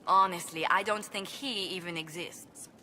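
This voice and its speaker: A woman's voice: on the low side, fairly even intonation, normal speed, noisy background, close to an everyday voice. An actress playing an earnest, proper, easily flustered noblewoman in a video game, with a polite, precise, formal manner. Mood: wry. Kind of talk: video game cut-scene acting.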